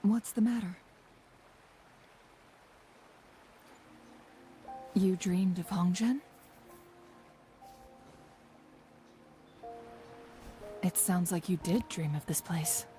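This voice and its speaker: A woman voicing a composed, knowledgeable young guide in a fantasy video game, speaking in a measured, formal, thoughtful way. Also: fairly low pitch, dark tone, soft background music, lightly acted. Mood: gentle concern. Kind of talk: scripted video game voice acting.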